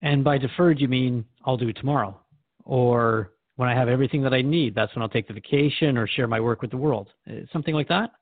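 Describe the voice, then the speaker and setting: A man's voice: medium pitch, fast talker, no echo, phone-quality sound, lightly acted. A man playing an earnest, thoughtful radio host who interviews in a reflective, conversational and sometimes hesitant way.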